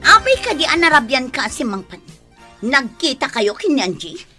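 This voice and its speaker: A jealous, scheming wife in a radio drama, high and bright with swooping, theatrical emphasis. She takes her time over each phrase, and music runs behind her. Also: sing-song, moderate pace, exaggerated voice. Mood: jealous, accusing. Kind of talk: Ilocano radio drama acting.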